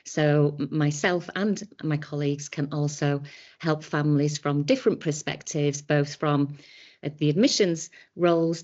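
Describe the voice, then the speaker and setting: A middle-aged woman from an admissions office, speaking in a measured, welcoming way with frequent ums over a video call. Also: low-pitched, darkish tone. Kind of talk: friendly welcome talk. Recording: dull lo-fi video-call audio.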